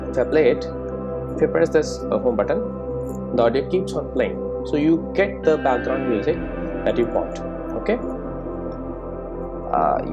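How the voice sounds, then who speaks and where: Informal coding tutorial instructor, explanatory. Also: medium pitch. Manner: explanatory, frequently checking understanding with tag questions.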